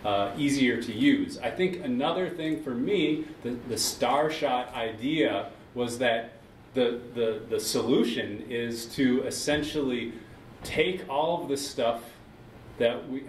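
A man on a discussion panel talking casually, quoting others in quick asides. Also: medium pitch. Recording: roomy, distant mic, faint noise.

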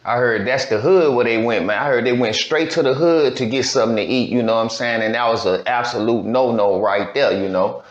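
A man's voice: mid-range voice, brisk, hardly any pauses. A man hosting a reaction video, making laid-back, slangy asides in casual commentary. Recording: echoey lo-fi room sound.

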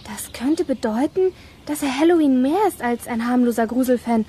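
A woman's voice: sits high, expressive, normal speed, dark tone, a little hiss or hum. An actress playing a young, eager and anxious girl detective in a children's radio play. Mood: alarmed.

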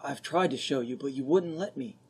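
A man playing an ardent, boyish young gentleman, earnest and pleading, in an old-time radio drama. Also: mid-range voice, a little fast, husky, close to an everyday voice.

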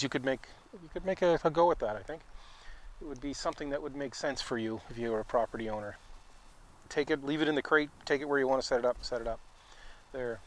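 A man in his forties, a sawmill owner, talking casually to the camera outdoors in a wooded yard, relaxed and practical in manner. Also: mid-range voice. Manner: relaxed and practical, explains with hands. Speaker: sawmill owner and video host.

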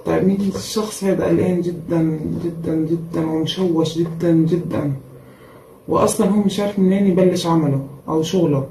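A woman giving a casual tarot reading in a tired voice, repeating words for emphasis and pausing often with fillers. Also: lowish voice, steady tone, dark tone. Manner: repetitive and emphatic, with frequent pauses and fillers. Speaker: tarot reader. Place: indoor room at a table with cards and a candle. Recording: echoey room with faint background noise.

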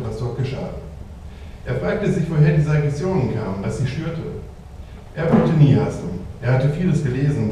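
A man's voice: mid-range voice, dark tone, slight background noise. A man performing slam poetry on a bar stage in an intense, rhythmic recitation. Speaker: slam poet. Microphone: handheld mic, far camera. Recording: echoey room from far off.

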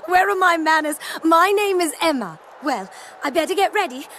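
A woman voicing a polite, admiring young female race car who flatters the hero. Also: extremely high pitch, a little slow, slight background noise, heavily acted. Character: friendly young female race car fan named Emma.

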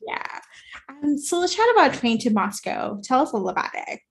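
A woman's voice: sits high, big pitch swings, a little fast, low-quality recording. A woman from Puerto Rico hosting a book podcast, chatting casually with her guest and using lots of "like" and "you know". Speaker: podcast host. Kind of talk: casual interview chat.

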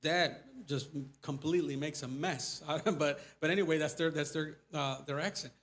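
A missionary pastor in his forties with a Mexican accent, speaking casually in a church and taking questions, animated and gesturing as he talks. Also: a little high, normal speed. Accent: Mexican Spanish. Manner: animated, gesturing, voice rising when stressing points. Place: church sanctuary before a pulpit. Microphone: lapel mic.

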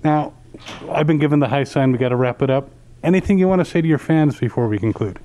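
A man's voice: medium pitch, fast talker, warm tone. A man in his forties conducting a conversational interview, his voice slightly muffled by a mask. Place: small indoor lounge, seated on a couch. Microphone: handheld interview microphone.

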